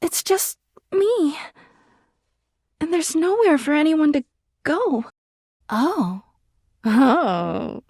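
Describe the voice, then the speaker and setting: Video game role: lovesick female object come to life, needy, passive-aggressive, high-pitched with very animated swings. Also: very slow, long pauses, no room sound, studio quality, heavily acted. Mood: empty, wistful. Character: clingy, volatile, lovesick female living laundry hamper. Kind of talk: video game character acting.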